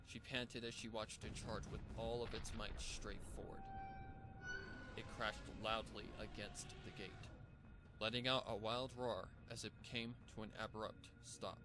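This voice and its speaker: A man's voice: on the low side, fairly even intonation, measured pace, over music. A man reading a scripted story as a dramatic narrator, with a noisy background. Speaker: fan fiction narrator.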